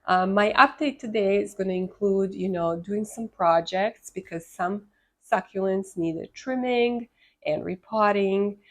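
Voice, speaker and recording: A woman's voice: medium pitch, measured pace. A congested woman from Serbia with a Serbian accent, chatting casually as the host of a gardening vlog. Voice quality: congested from a sinus infection. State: congested with a sinus infection. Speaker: host of a succulent gardening channel.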